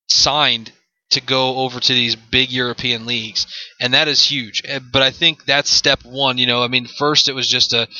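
A man's voice: medium pitch, pushed and bright, dry recording, muffled recording. A man in his mid twenties with an American accent, hosting an unscripted solo sports podcast in a rambling way, often repeating his phrases. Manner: rambling, repeats phrases, leans on "you know". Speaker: podcast host.

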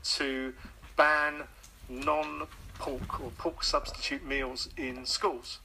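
Male author guest, mid-pitched and bright, hesitant, thoughtful, repeats words. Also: little pitch movement, extremely slow, a little hiss or hum, poor audio. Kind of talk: radio interview.

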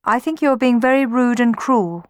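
A woman's voice: on the low side, normal speed, dry recording, studio quality, lightly acted. Woman acting a plaintive young wife of myth. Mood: hurt, grumbling. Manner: plaintive, reproachful. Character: hurt, reproachful young wife from Greek myth.

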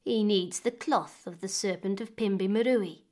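A woman voicing a frail, quiet and knowing old beggar woman, lower and softer than her narration. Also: a little slow, lightly acted.

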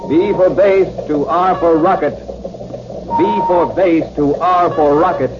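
An American actor playing a dutiful military radio operator in a 1950s radio drama, formal and measured with his call signs. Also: fairly high pitch, over music. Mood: dutiful, focused. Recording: muffled, noisy old 1950s radio recording.

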